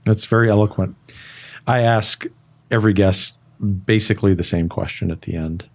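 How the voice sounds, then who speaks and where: A middle-aged man hosting an interview show, speaking in a measured, persuasive way and often repeating a word before going on. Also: lowish voice, vocal fry. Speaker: talk show host. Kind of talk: interview conversation.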